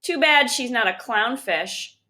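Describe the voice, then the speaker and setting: A woman's voice: fairly high pitch, expressive, unhurried, pushed and bright. A woman voicing a grumbly, exasperated young boy in a children's storybook, with a blunt and reluctant manner. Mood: teasing, grumpy.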